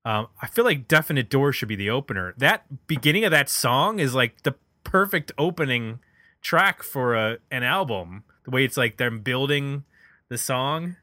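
Bright-voiced man hosting a music podcast. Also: medium pitch, lively intonation, moderate pace, no echo, clean studio sound. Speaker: podcast host. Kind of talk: casual music discussion.

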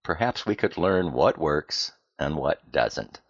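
An American man delivering a scripted, explanatory podcast monologue in a plain-spoken way, with deliberate emphasis and rhetorical questions. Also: a bass voice, animated, dry recording, highs cut off. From United States. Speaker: podcast host.

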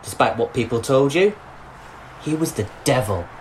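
An actor playing a distraught, bitter suspended policeman in an audio drama, speaking in an anguished, halting way. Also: medium pitch, lively intonation, a little hiss or hum, close to an everyday voice, rough recording. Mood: defensive, anguished. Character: distraught suspended young policeman, bitter, guilt-ridden lover. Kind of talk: audio drama monologue and dialogue.

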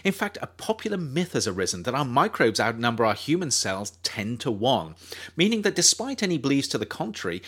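A man with a fairly low voice reads his own nonfiction book aloud. His pitch swings widely as he leans on the important phrases. The reading stays measured and thoughtful throughout. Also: fairly bright tone. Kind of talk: nonfiction audiobook narration.

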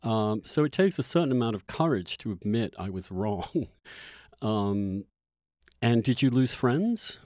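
A man speaks as the host of a conversational interview. His pitch is average for a male voice, and he feels his way through sentences with tentative, thoughtful restarts. The sound is dry, with very little room. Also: expressive, darkish tone, no echo. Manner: halting, thoughtful, tentative phrasing.

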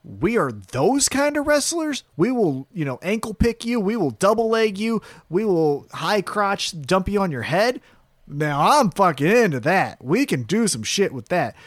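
A man co-hosting a wrestling podcast, talking in a blunt, profane, animated way. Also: sits high, a little slow, dry recording, clean studio sound. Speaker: podcast co-host. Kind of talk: casual wrestling chat.

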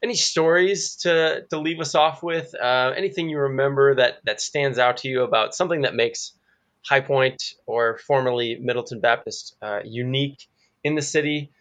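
A man's voice: medium pitch, normal speed, a bit bright. A man hosting a church podcast, asking guests questions in a casual, friendly way with many fillers. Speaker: podcast host, church fellow. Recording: slightly dull, lo-fi audio.

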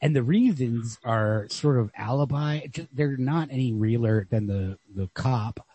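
A man co-hosting a podcast, talking casually and analytically about literature in a wry, husky voice. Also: medium pitch, measured pace, dark tone, no echo. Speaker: podcast co-host. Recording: lo-fi, dry close recording.

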